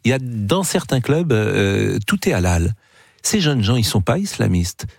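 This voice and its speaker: Male talk radio host, lively pitch, dry close mic, jumps in, hesitant with fillers. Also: unhurried, gravelly, no room sound. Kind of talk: live radio interview.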